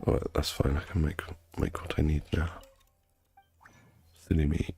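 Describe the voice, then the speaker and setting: A man's voice: a bass voice, little pitch movement, normal speed, warm tone, soft background music. A man streaming a game, talking in a casual, rambling way with a nasal voice and frequent restarts. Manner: rambling, self-correcting, chatty. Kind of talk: casual chat while gaming.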